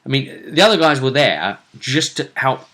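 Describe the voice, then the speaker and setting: A man's voice: medium pitch, lively intonation, normal speed, sharp tone. A man casually recapping a fantasy role-play tournament as host, often hesitating and repeating phrases as he recounts it.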